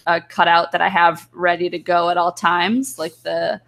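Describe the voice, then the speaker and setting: A woman's voice: fairly low pitch, little pitch movement, normal speed, creaky, fairly bright tone. A woman guest chatting casually and a little hesitantly, thinking aloud as she explains. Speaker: voice actor, guest. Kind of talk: casual chat.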